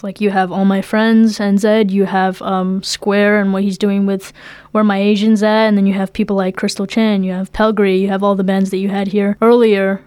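A woman's voice: mid-range voice, darkish tone, clear, resonant tone, dry recording. A young woman with a New Zealand accent, talking informally and with slang in a casual interview as a musician guest. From Philippines, moved to New Zealand at eight.

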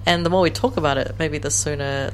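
Low-voiced kiwi woman late 20s, laughy and chatty, lots of "like", faint hum behind. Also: quick pace, poor audio. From New Zealand. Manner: animated, laughs often, many "like" fillers. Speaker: guest, co-creator of a series. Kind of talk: casual interview chat.

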